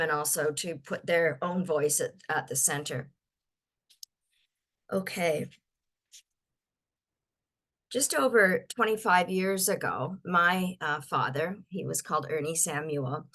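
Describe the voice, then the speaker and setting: An older woman from Ontario giving a webinar talk, her voice a little croaky, halting often with fillers and restarts. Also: on the low side, pushed and bright. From Ontario, Canada. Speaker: guest speaker.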